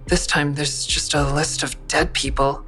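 A woman's voice: sits low, steady tone, unhurried, bright, forceful tone, faint music behind. A woman playing a guarded, blunt protagonist in an audio drama, narrating and speaking with a dry, terse manner. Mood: probing, guarded. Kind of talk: first-person audio drama narration and dialogue.

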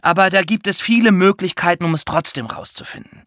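A woman's voice: fairly low pitch, a little fast, fairly bright tone, a little hiss or hum. A woman co-hosting an educational podcast for children, explaining step by step in a conversational way.

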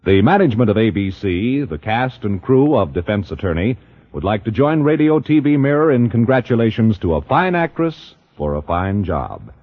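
A man's voice: on the low side, sing-song, moderate pace, narrow, tinny audio. A man announcing in scripted 1950s radio style, with formal, emphatic delivery on a vintage broadcast.

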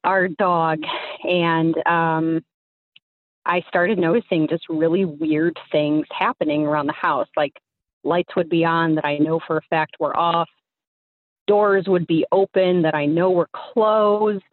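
Tinny phone-line caller: young American woman, slightly low voice, chatty, hesitant storyteller. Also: steady tone, a little slow, no echo. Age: young adult. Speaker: phone-in guest. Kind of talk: casual personal storytelling. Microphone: phone call.

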